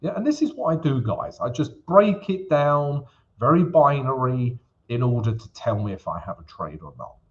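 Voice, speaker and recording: A man's voice: medium pitch, big pitch swings, normal speed. A man hosting a live trading stream, chatty and casual, checking in with the audience as he walks through charts. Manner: chatty, peppers lines with "yeah?" and "guys". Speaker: forex livestream host. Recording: slightly dull livestream audio.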